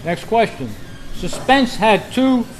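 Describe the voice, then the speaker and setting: A man hosting a quiz, reading trivia questions aloud to a group in a deliberate, phrase-by-phrase way at a club meeting. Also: sits high, lively intonation. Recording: noisy live meeting room recording.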